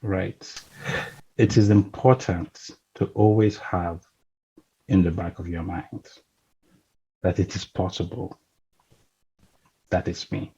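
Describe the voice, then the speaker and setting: A man's voice: low voice, fairly even intonation, slow, long pauses, mellow, husky, dull audio. A man talking as a guest, thoughtful, often checking in with "right?" and "you know". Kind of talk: casual conversation.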